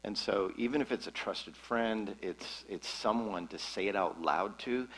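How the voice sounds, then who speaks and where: A man's voice: on the low side, a little slow, a bit bright, rough recording. A man hosting a church conversation as pastor, casual and self-correcting as he talks.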